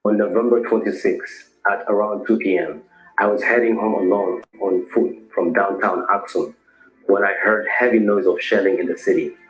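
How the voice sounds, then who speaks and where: A man voicing a low-voiced young man. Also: fairly even intonation, moderate pace, close to an everyday voice. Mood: somber. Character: young man of twenty-six, shot in the street. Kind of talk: scripted first-person testimony. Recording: echoey room with faint music.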